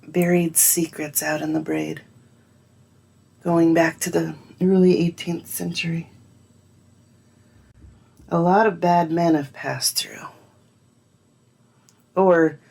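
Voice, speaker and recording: A pensive woman with a low voice, thinking aloud in an audio drama. Her journal-like monologue is measured and slow, dwelling on a dark past. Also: breathy edge, exaggerated voice. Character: thoughtful woman waiting, musing on dark local history.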